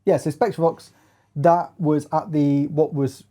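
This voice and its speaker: A man's voice: a little high, fairly even intonation, unhurried, dark tone. A man presenting a hands-on music gear review in a casual chat, feeling his way through phrases with lots of hedging.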